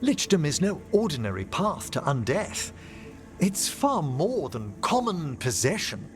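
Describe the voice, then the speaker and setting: An actor playing a courteous older necromancer scholar in a video game, speaking formally and with measured, wistful erudition. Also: male voice, a little high, very animated. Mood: earnest, proud. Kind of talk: dramatic video game dialogue. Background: game music.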